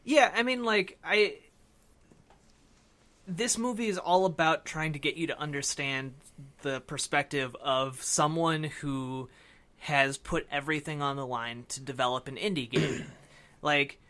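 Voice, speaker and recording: A man's voice: on the high side, measured pace, bright, forceful tone. A man co-hosting a podcast, discussing film casually and thinking aloud as he explains. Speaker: podcast co-host.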